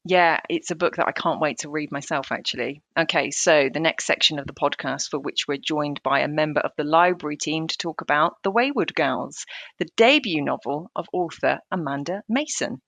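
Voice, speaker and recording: A woman's voice: low voice, brisk, vocal fry, fairly bright tone, no room sound. A British woman hosting a podcast, delivering a scripted link between segments. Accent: British English. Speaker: podcast host.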